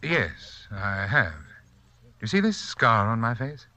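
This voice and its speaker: A man playing a suave, sinister sanitarium doctor in a 1940s radio mystery, polite, composed and quietly menacing. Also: lowish voice, big pitch swings, slow, exaggerated voice. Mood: coolly sinister.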